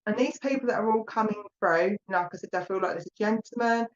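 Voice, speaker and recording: A woman's voice: medium pitch, fast. A woman with an Essex accent chats her way through a live psychic reading and calls viewers "my lovely". Her voice sits in a middle range. The stream audio is dull and lo-fi. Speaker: psychic medium. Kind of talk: live online mediumship reading.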